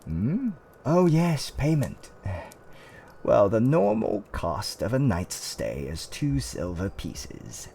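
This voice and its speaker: A man playing a gentle, courteous tavern keeper in a fantasy roleplay, with soothing, old-fashioned politeness and theatrical hums and pauses. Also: medium pitch, huge pitch swings, vocal fry, slight background noise, full character voice. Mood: welcoming, musing. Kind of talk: roleplay ASMR character acting.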